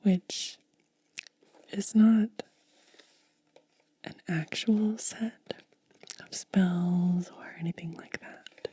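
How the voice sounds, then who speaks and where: Whispering woman, very slow ASMR. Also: lowish voice, steady tone. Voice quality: breathy, whispered. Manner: slow, hushed, lingering on short phrases. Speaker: ASMR video host. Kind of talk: soft-spoken ASMR.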